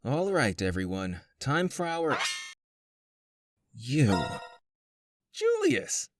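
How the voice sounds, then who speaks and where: A man voicing a fussy, frazzled bird who runs a guild in an animated comic dub, exclamatory and flustered in manner. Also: mid-range voice, extremely slow, heavy background noise, full character voice. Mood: flustered, shocked.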